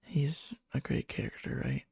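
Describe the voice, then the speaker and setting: A man playing a needy yandere young man confessing love to a friend in a hushed, halting ASMR roleplay, often slipping into a whisper, breathy and gravelly. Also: no room sound, close to an everyday voice. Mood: uneasy, infatuated. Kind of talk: ASMR boyfriend roleplay, soft intimate storytelling. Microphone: close mic, dry.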